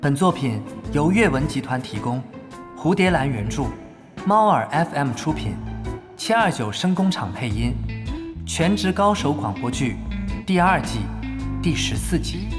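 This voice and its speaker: A man announces scripted credits in a fairly high voice. His intonation is animated, with plucked guitar music clearly behind him. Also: a little slow.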